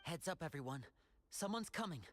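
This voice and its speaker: An actress playing a calm, guarded, level-headed woman fighter in a video game, speaking coolly and deliberately. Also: low-pitched, faint music behind. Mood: wary.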